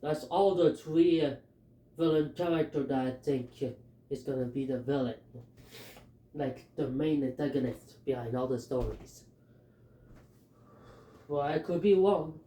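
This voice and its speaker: A man with a Filipino accent talking through his fan theories in a halting, hesitant way, with many fillers. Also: medium pitch, warm tone, reverberant. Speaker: fan commentator. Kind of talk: casual theory talk.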